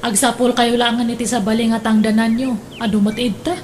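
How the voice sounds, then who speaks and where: High-pitched, practical young Ilocano woman, played by an actress. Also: little pitch movement, a little fast, a little mellow, close to an everyday voice. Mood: guarded. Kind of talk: radio drama acting. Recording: faint background noise.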